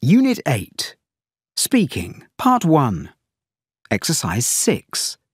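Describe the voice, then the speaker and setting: A woman narrating an exam recording, reading numbers, words and spellings slowly and deliberately. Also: extremely low pitch, wildly swooping pitch, breathy edge, dry recording, studio quality.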